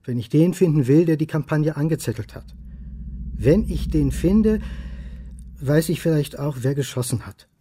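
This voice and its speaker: A man's voice: on the high side, animated, normal speed, mellow, slightly rough. An actor playing a brooding, stubborn male historian in a radio crime drama, pressing for answers. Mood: insistent. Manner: insistent, interrogating. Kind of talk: radio crime drama acting and inner monologue.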